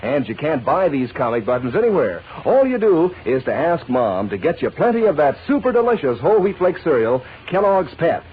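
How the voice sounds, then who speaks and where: A man giving a folksy, eager sales pitch as a 1940s radio announcer. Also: sing-song, fairly quick, hardly any pauses. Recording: tinny, noisy old radio recording.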